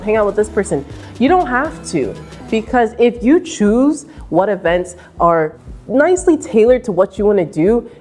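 A woman in her twenties with an American accent, giving sassy, animated advice straight to camera in an indoor room. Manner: sassy and animated, mimics voices in high squeals. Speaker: vlogger. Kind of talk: direct-to-camera advice vlog. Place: indoor room with painting behind.

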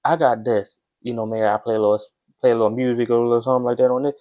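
A man's voice: medium pitch, level tone, very fast, mellow. Laid-back young man vlogging casually. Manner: laid-back, chatty, addresses viewers as y'all. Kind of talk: casual vlog chat. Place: home kitchen, indoors.

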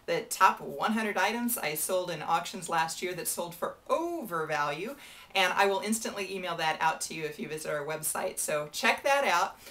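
A woman's voice: lowish voice, lively intonation, normal speed, a bit bright. Chatty American woman auctioneer. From United States. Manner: friendly, chatty, gestures with her hands. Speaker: benefit auctioneer giving advice. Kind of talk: casual to-camera advice talk. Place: small indoor room before a whiteboard.

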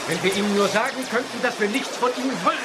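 A man's voice: high voice, very fast, very noisy, close to an everyday voice. A man in a German audio drama playing an alert, cautious professor exploring space, urgent and explanatory. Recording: loud music behind the voice. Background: loud music.

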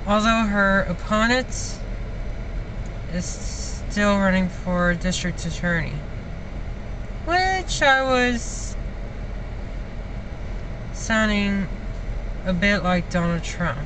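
A young man talking casually to camera as a vlogger in a car, in halting, broken phrases with long gaps. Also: high-pitched, animated. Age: young adult. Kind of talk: casual vlog talk to camera. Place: inside a parked or standing car. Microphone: phone or camera at arm's length. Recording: clear vehicle and outdoor noise.

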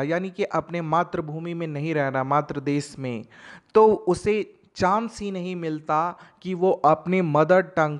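A man, teaching a poem line by line as a voice-over. He reads each verse line very slowly, then explains it in a mix of Hindi and English. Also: a little high. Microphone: voice-over over text slides.

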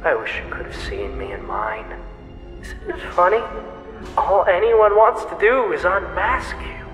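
Deranged bitter killer, slow theatrical delivery, halting, gleeful menace, echoey hall, audio drama. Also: male voice, high-pitched, lively intonation, a bit bright. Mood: admiring, creepy. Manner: halting, breathy whispers to sudden outbursts. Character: unhinged male serial killer, bitter, obsessive, gleefully menacing. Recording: echoey reverberant hall, faint music behind.

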